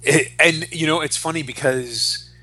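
A man in his forties chatting casually and profanely, retelling stories in an animated way as on a podcast. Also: on the high side, unhurried. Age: 40s. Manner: animated retelling with acted-out quotes. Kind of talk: casual, profane podcast chat about comics.

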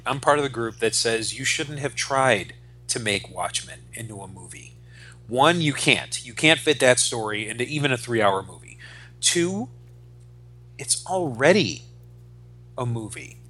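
A man in his forties talks as a podcast host. His voice is bright and sharp, sitting at a middling pitch. He speaks casually, airing strong opinions and swearing as he rants. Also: expressive, a little slow. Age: 40s.

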